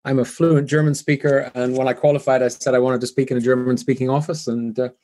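Older man with a softened Canadian accent. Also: medium pitch, flat delivery. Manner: measured, frequent ums and restarts. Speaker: guest. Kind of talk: business interview.

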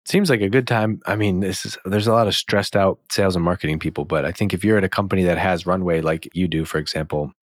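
A man's voice: deep voice, quick pace, few pauses, dry recording, clean studio sound. A man hosting a podcast interview, asking questions and commenting in a casual, conversational way.

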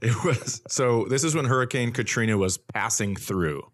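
A man sharing comic anecdotes in casual podcast chat, with a halting, wry way of talking. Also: medium pitch. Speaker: comedian, podcast co-host.

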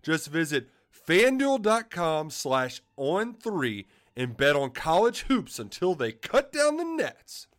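Guy doing a peppy ad read, voice swings around a lot, a bit high. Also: very animated, slow, hardly any pauses, a bit bright. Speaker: advertisement announcer. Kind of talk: upbeat scripted sports betting ad read.

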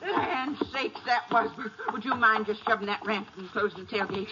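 A woman's voice: medium pitch, normal speed, close to an everyday voice. An actress playing a folksy, rambling rural Texas farm woman with a Texan accent in an old-time radio western. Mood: exasperated. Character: plain-spoken rural Texas farm woman wrangling a mule. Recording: noisy lo-fi old radio recording.

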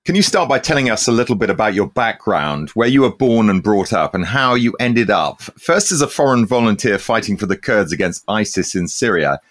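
A man hosting a podcast, reading a measured, formal introduction and questioning a guest. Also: on the low side, sing-song, fairly bright tone. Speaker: podcast host. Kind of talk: scripted show introduction.

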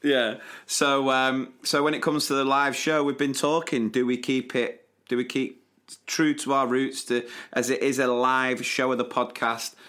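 A man's voice: medium pitch, unhurried. A man with a mid-range voice, talking easily and casually as a podcast co-host. He plugs the show and keeps the conversation moving. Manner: relaxed, promotional plugs. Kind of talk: casual chat.

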